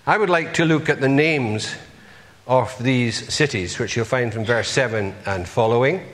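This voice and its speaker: A man's voice: mid-range voice, a bit bright, big-room sound. A man preaching a sermon, earnestly putting rhetorical questions to his congregation.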